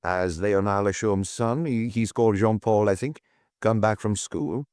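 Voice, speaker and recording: A man voicing a calm, persistent chief inspector in an audiobook, measured, probing and courteous. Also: fairly low pitch, clear, resonant tone, no room sound, studio quality, natural voice. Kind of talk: audiobook character dialogue.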